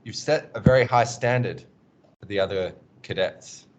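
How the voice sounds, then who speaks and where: A man teaching an online class, giving encouraging feedback on a student presentation with many pauses and ums over a video call. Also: fairly low pitch.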